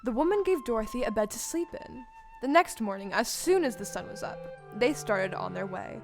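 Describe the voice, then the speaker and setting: A woman narrates a storybook tale for an audio play. Her voice is fairly high, and music plays softly behind her. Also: expressive, a little fast.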